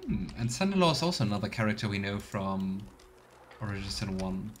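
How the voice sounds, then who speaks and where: A man's voice: on the low side, big pitch swings, fairly quick, faint music behind. A man giving casual, chatty let's play commentary with playful sarcasm. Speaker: livestreamer playing a video game.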